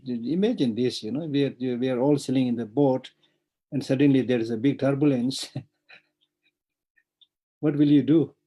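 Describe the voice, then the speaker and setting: Mellow husky man giving a dharma talk. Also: medium pitch. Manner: earnest, repeats phrases, frequent "you know". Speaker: Buddhist teacher. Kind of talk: dharma teaching. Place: online teaching.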